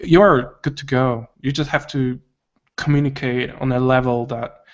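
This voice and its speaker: A man's voice: mid-range voice, steady tone, measured pace, dull audio. A man co-hosting a casual chat, laid-back and encouraging.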